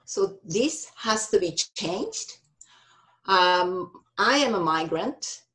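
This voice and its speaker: A woman's voice: medium pitch, lively intonation, slow pace, bright, forceful tone, rough recording. A middle-aged woman from Japan with a Japanese accent, presenting a workshop over a video call and phrasing things carefully, with frequent ums and restarts. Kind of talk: online workshop talk with slides.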